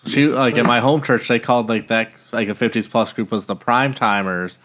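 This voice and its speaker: A man's voice: medium pitch, fairly even intonation, moderate pace, fairly bright tone. An American man co-hosting a casual, conversational podcast. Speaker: podcast co-host. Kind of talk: casual chat.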